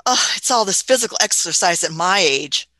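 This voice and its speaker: Woman playing bright, animated, fussy elderly Doctor. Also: on the low side, normal speed, no echo. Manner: hemming, questioning, punctuates with hmm and uh. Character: elderly Doctor, inquisitive, fussy time-travelling adventurer. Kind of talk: radio play acting.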